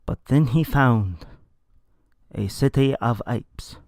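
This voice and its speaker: A man's voice: mid-range voice, slow, a little mellow, no room sound, studio quality. A man reading a story aloud in a dramatic, comedic style with theatrical, emphatic delivery. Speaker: narrator reading a fan fiction story aloud.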